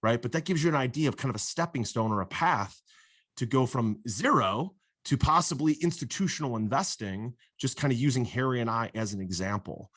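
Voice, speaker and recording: A man's voice: mid-range voice, lively intonation, brisk, fairly bright tone, no echo. A man hosting a podcast interview, upbeat and affirming, asking long multi-part questions. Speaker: podcast host. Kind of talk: casual interview chat.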